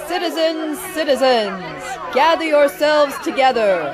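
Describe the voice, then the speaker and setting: A woman's voice: high-pitched, lively intonation, measured pace, a crowd in the background. A woman acting in an audio drama as a stern, pitiless zealot leader, solemn and declamatory like a ceremony. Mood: solemn, commanding.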